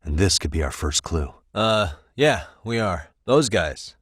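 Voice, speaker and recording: An actor playing a composed, determined male detective in a video game, speaking in a measured, probing way with dry wit. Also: deep voice, lively intonation, fairly bright tone, slightly rough, no room sound, heavily acted. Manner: measured, probing, dryly wry questioning. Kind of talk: English video game voice acting.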